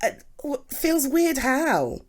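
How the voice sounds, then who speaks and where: A British woman acting as a doting, supportive girlfriend in an intimate ASMR roleplay, affectionate and halting as she talks to her partner. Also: sits high, sing-song, darkish tone, full character voice. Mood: concerned, affectionate. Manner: gushing, affectionate, halting with sighs and repeats.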